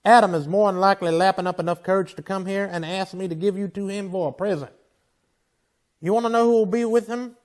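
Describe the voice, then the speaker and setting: A steady Old West marshal played by a man in a western radio play, with a high voice for a man. He is blunt, commanding and folksy, with a dry wit under the sternness. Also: fast, natural voice. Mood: exasperated warning. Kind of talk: old-time western radio drama acting.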